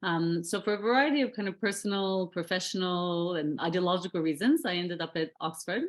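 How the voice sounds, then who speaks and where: A woman's voice: fairly low pitch, a little fast. A middle-aged woman researcher reflecting on her academic path in an interview, thoughtful, with many fillers and restarts.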